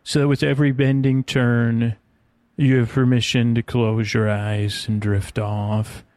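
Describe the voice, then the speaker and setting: A man's voice: mid-range voice, little pitch movement, measured pace, darkish tone, no room sound. A man hosting a sleep podcast, rambling through a bedtime monologue in a hesitant, meandering way, often interrupting himself and trailing off into tangents.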